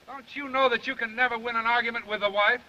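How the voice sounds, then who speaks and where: An actor playing a brisk, blunt, wisecracking traffic policeman in a 1930s film. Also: extremely high pitch, fairly even intonation, pushed and bright, heavily acted. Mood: brisk, exasperated. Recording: muffled 1930s film soundtrack.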